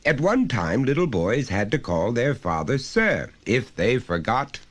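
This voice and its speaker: A man's voice: mid-range voice, very animated, a little slow, pitched above the performer's own voice. A man voicing an overbearing, falsely jovial middle-aged father in a short story, with a precise, pompous, lecturing manner.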